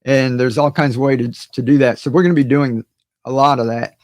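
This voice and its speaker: A hoarse man hosting a gardening channel, chatting casually and rambling to his viewers with many fillers and repeated words. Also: mid-range voice, fairly even intonation, a little fast, darkish tone, no echo, studio quality. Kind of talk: casual livestream chat to viewers.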